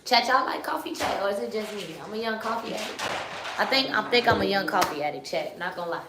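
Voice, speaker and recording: A woman's voice: mid-range voice, quick pace, echoey room, background noise. A young woman from Chicago in her twenties, hosting a live stream in loose, slangy casual chat that keeps turning to the chat. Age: 20s. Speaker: livestream host.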